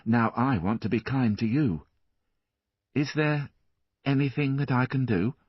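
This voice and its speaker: A ragged, sad young woman speaks softly and wistfully, as a man puts her on. Her husky voice sits well above his own. The recording is dull and dry. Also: mid-range voice, unhurried, dry recording, pitched above the performer's own voice.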